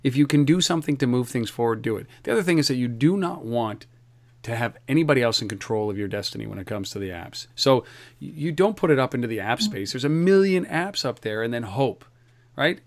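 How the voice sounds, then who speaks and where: Energetic man hosting a podcast. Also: medium pitch. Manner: energetic, repeats words when starting sentences. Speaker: podcast host. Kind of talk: casual podcast chat.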